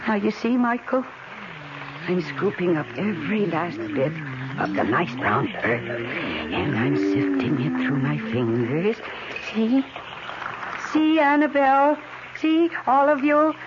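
A woman's voice: on the low side, very animated, slow. An American woman playing an anxious wife who tenderly dotes on her houseplants, acted intensely in 1970s radio drama style. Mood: tender, coaxing. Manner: intense, dramatic delivery with repeated words and pleading emphasis. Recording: dull, noisy 1970s radio recording.

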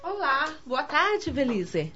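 A woman plays a proud, devout Brazilian spiritist in a radio soap opera. She speaks in a highish voice with formal, didactic earnestness. The recording sounds slightly dull and lo-fi. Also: big pitch swings, slow, bright, forceful tone, soft background music, exaggerated voice. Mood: polite, proud. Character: devout, proud, helpful spiritist daughter of a matron.

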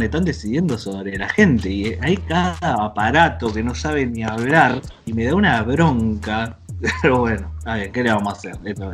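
A man with a Rioplatense Spanish accent hosting a podcast, rambling and joking in casual chat. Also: lowish voice. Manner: rambling, joking, lively with frequent laughs. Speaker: podcast host.

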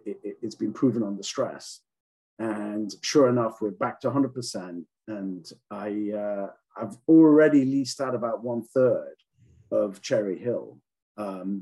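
Husky-voiced man from England. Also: fairly low pitch, warm tone, poor audio. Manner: halting, many ums and restarts. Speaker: office landlord guest. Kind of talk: casual interview talk. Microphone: call audio.

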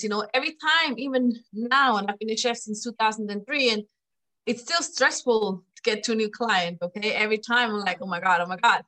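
A woman's voice: mid-range voice, bright, forceful tone, poor audio. A middle-aged woman from Switzerland with a Swiss accent, chatting as a chef in a casual interview, laughing often and tossing in "you know".

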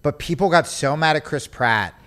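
A man's voice: a little high, moderate pace, clear, resonant tone. A young man hosting a podcast, chatting casually and joking, acting out quoted voices. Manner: animated, joking, acts out quotes. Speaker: podcast host. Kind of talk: casual comedic chat.

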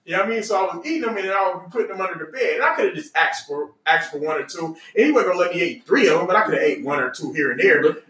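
A man's voice: high-pitched, lively intonation, rapid-fire, bright, forceful tone. An adult man casually telling family stories, candid and repeating himself as he goes. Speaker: podcast host. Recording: roomy echo, slightly distant mic.

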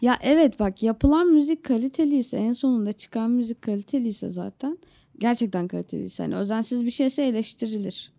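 A woman's voice: on the high side, brisk, gravelly, warm tone, no echo. A woman co-hosting a podcast, casually talking through her opinions in conversation. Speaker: podcast co-host. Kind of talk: casual chat, opinionated discussion.

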